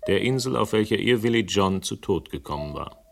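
A shrewd male investigator in a radio crime drama, speaking in a low voice. He is articulate, ironic and persistent, over clearly audible hiss and clicks. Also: animated, a little fast. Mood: somber, measured.

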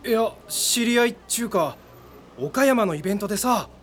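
A man's voice: high-pitched, lively intonation, moderate pace, fairly bright tone, slight background noise. A man playing a chummy, flighty young guy in a radio drama, casual and over-familiar. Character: chummy, flighty young male acquaintance, event-going geek.

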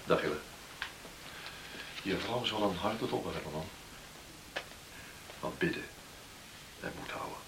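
Kindly village doctor, deep measured voice, noisy old radio drama. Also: male voice, vocal fry. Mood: calm, reassuring. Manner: measured, reassuring. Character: calm, reassuring village doctor, practical and kindly. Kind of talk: Dutch radio play acting.

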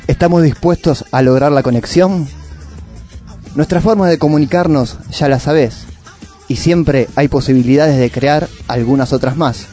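A man with a Rioplatense Argentine accent reads literary prose as a radio presenter. His voice is soft-edged and mellow, and his delivery is reflective and poetic. Light music plays underneath. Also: fairly high pitch, moderate pace. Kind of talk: literary reading and monologue for a radio show.

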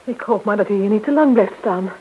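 A woman's voice: mid-range voice, fairly quick, natural voice. An actress playing a worried married woman travelling by train in a radio drama. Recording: dull, noisy radio play recording.

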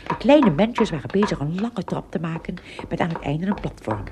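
A woman narrating a scripted children's story expressively, with dramatic pauses and little asides. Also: on the low side, expressive, noisy background.

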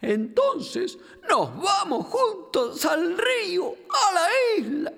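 Chubby talking capybara for kids radio play, argentine rioplatense accent, super swoopy high voice, theatrical and excitable. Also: female voice, slow, vocal fry, heavily acted. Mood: exclaiming, amused. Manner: theatrical, exclamatory, explains patiently. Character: chubby furry talking capybara, excitable, knowing river guide. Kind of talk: children's radio drama acting.

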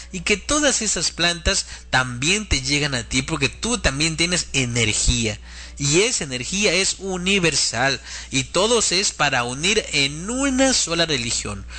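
A man hosts a devotional program as a preacher. His voice is clearly bright and forceful, sitting slightly high for a man. He speaks in an instructive, religious register meant for a listening audience. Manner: didactic, addresses listeners as friends and brothers. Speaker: religious radio host and preacher. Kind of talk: devotional teaching talk and prayer.

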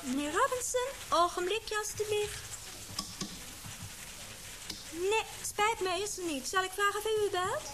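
Casting: actress as gossipy female receptionist, radio drama, high bright-mannered chatter, clearly noisy recording. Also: normal speed. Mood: courteous. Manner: courteous, chatty. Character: chirpy, gossipy young female newspaper receptionist. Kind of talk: Dutch radio play acting.

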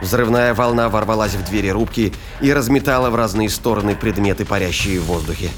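A man's voice: lowish voice, fairly quick. A man narrating a scripted action story as an audio drama narrator. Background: music and sound effects.

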